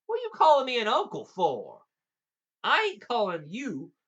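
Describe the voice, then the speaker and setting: A man voicing a big, gullible old giant in a folktale, with an Appalachian accent and folksy, drawn-out protests. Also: high-pitched, big pitch swings, pushed and bright, dull audio, pitched above the performer's own voice, full character voice. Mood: puzzled. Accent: Appalachian American.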